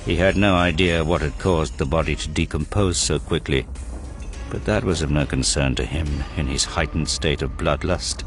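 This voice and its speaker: A man reading scripted dramatic narration for an audio drama, grave and measured. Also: extremely low pitch, soft background music.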